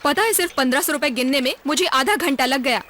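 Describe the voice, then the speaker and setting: A plain-spoken housewife in a household film drama, with a high, bright, piercing voice. She talks in a nagging, domestic way. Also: fast talker, a little hiss or hum.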